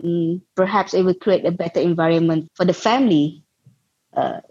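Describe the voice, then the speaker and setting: A woman's voice: on the low side, normal speed, a little mellow, husky, no room sound. A young Malaysian woman with a Malaysian accent, a guest founder answering interview questions thoughtfully and searching for words. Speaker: guest, social enterprise founder. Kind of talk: interview conversation. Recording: dull lo-fi sound.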